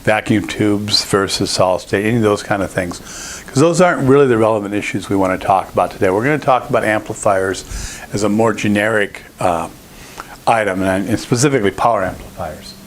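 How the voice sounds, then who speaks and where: A man presenting an informal technical lesson, explaining step by step in a halting, explanatory way. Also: fairly low pitch, a little hiss or hum. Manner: explanatory, halting, with frequent throat clearing. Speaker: presenter of an audio engineering lesson.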